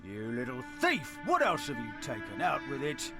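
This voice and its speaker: An actor playing a suspicious, bullying castle guard in a video game, barking accusations. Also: male voice, medium pitch, wildly swooping pitch, moderate pace, gravelly. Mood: menacing, accusing. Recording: music playing behind the voice.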